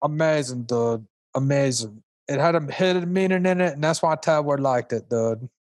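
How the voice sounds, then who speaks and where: A man's voice: on the high side, moderate pace, no echo, natural voice. A man playing an easygoing, enthusiastic slacker host who talks like a laid-back dude in a comedy radio interview. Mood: upbeat, encouraging. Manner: laid-back surfer-dude talk, constant "dude". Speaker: talk show host.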